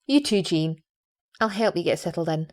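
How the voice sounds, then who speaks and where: A woman's voice: fairly low pitch, expressive, normal speed, a little mellow, lightly acted. Woman playing a blunt, protective doctor. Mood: kindly concern. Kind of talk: audio drama acting.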